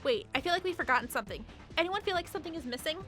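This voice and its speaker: A woman's voice: sits high, lively intonation, a bit bright, soft background music. A woman playing a chatty, flippant, scatterbrained supervillain crew member in a comedic radio play. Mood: puzzled. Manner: chatty, breezy, hurried.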